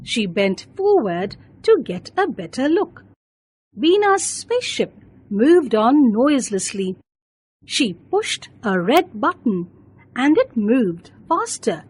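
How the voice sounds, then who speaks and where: A woman reads a children's bedtime story in a high, lively voice. She takes her time over each phrase, slowly and deliberately, with expressive swings in pitch. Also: breathy edge.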